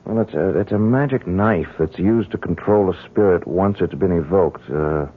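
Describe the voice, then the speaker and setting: A man's voice: low-pitched, normal speed. An actor playing an earnest, determined man who explains things hesitantly in a 1970s radio drama. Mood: pensive, intent. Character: earnest, determined young man dabbling against occult forces. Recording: muffled, narrow-band 1970s radio recording.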